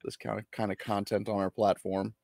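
A man's voice: lowish voice, level tone, fairly quick, creaky, a little mellow, no room sound, clean studio sound. A man co-hosting a casual hobby show, easygoing and given to stammered restarts. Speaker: co-host.

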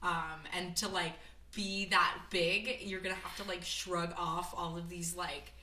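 A woman hosting a podcast, chatting casually about a movie with a naturally loud voice, laughing often and rambling with lots of fillers. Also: lowish voice, flat delivery, unhurried, roomy sound. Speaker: podcast host. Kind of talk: casual movie review chat.

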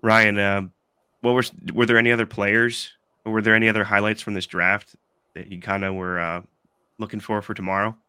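Stuffy-nosed man, fairly low voice, casual sports pod host, rambling chat. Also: little pitch movement, a little fast, sharp tone, smooth, no echo, studio quality. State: congested from allergies. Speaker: podcast host.

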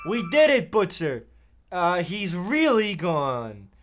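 A man playing an earnest, excitable boy sidekick with gee-whiz folksiness in an old-time radio parody. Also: sits high, takes it slowly, faint music behind, exaggerated voice. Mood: folksy, relieved.

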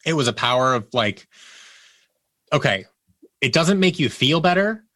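A man with a bright, forward voice in a close, dry recording. He talks candidly and reflectively as a guest in conversation, often voicing his own thoughts as if quoting them. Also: mid-range voice, lively intonation, normal speed, no echo. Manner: reflective, acts out inner thoughts as quoted speech. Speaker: interview guest. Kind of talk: candid personal storytelling in conversation.

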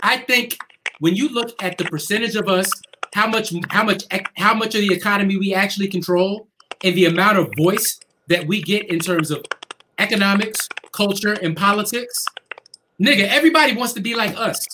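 A man with a high voice talks in an animated, emphatic way, often swearing, as he co-hosts a casual discussion. The recording has a steady noise bed with keyboard clicks behind him. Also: moderate pace. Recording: noisy background with keyboard clicks.

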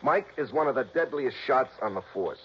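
An American man acting a harried, earnest police lieutenant in a 1960s radio drama, speaking with gruff urgency. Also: medium pitch, animated, fairly quick, close to an everyday voice. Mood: urgent, worried. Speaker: supporting actor in a radio detective serial. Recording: dull old radio broadcast, faint noise.

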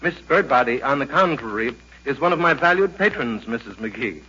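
A man's voice: medium pitch, lively intonation, normal speed, sharp tone. A man acting a pompous, over-refined theater manager with florid, formal diction, in 1940s radio comedy style. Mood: stuffy, formal. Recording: dull, noisy 1940s radio recording.

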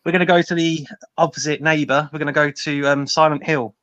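A man's voice: a little high, fairly even intonation, brisk, a bit bright, dry recording, dull audio. A man chatting casually as a podcast panelist. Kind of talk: casual chat.

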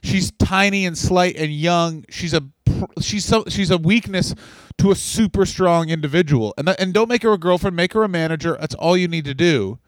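Male co-host, crude and blunt, slightly high voice, dry close mic. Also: moderate pace, no room sound, low-quality recording. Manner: blunt, crude, joking riffs. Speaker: podcast co-host. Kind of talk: casual podcast chat.

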